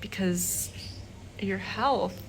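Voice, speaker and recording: A woman talking casually to her vlog audience in a reflective, halting way, often pausing between short phrases. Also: medium pitch. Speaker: vlogger. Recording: clearly noisy background ambience.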